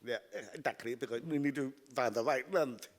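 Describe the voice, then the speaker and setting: Mid-pitched man, halting and repetitive. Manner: halting, repeats words. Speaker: panelist, lab head. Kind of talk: informal roundtable remarks.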